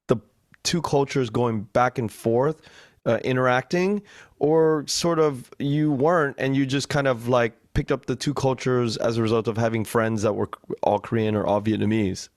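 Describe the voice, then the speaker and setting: A man's voice: medium pitch, a little slow, darkish tone, no echo, studio quality. A man hosting an interview, asking long, casual questions. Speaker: host.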